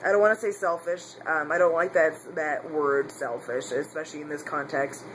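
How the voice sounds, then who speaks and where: A man speaks in a candid, confessional vlog, his voice sitting a little higher than most men's. He rambles, doubles back and corrects himself mid-sentence. A faint background hum sits under his voice. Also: steady tone, normal speed, a bit bright, poor audio. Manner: rambling, frequent restarts and self-corrections. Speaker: vlogger. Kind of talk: casual personal vlog.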